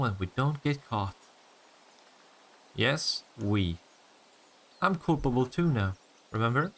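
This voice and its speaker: Gravelly, teasing male vampire, acted by a man. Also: mid-range voice, fairly bright tone. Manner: teasing, sardonic, swearing banter. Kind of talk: scripted roleplay audio drama.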